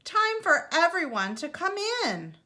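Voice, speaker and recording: A protective mother fox from a storybook, read by a woman. She is firm and reassuring, with a bright tone and expressive, moving pitch. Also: unhurried, exaggerated voice. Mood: calling out.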